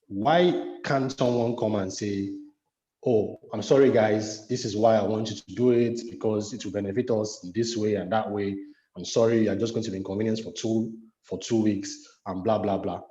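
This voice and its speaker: A man's voice: on the low side, warm tone. A man in a conversational discussion, explaining his point by acting out little examples. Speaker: podcast discussion panelist. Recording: echoey, dull online call audio.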